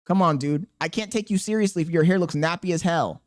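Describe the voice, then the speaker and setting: A man's voice: on the high side, fast talker. Blunt, mocking man with a thin voice. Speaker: reaction video host. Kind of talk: casual reaction commentary to chat.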